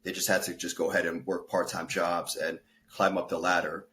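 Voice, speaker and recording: A man's voice: low voice, little pitch movement, brisk, sharp tone. A man in his thirties, born in Peru and raised in the States, telling his story earnestly in a casual podcast interview, with fillers and self-corrections. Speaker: guest, fitness and life coach.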